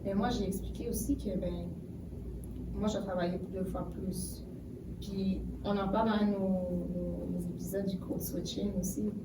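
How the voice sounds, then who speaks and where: This young woman from Haiti speaks with an emphatic delivery and drops in English phrases. Her voice is mid-pitched. She sounds a little far from the mic in an echoey room, with loud noise underneath. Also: steady tone, moderate pace. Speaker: podcast co-host. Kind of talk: casual round-table chat.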